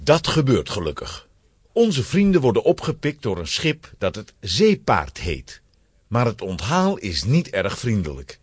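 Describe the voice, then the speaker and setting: A man's voice: lowish voice, wildly swooping pitch, a little slow, slightly rough, dry recording, clean studio sound. A man narrating a scripted children's story with dramatic suspense.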